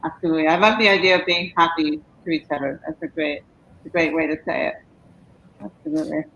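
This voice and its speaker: A woman hosting a conversational live Q&A, affirming what others say and pausing as she goes. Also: low voice, steady tone, vocal fry, a bit bright. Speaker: host of live Q&A. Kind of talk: conversational interviewing, reading viewer comments. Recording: dull lo-fi stream with background noise.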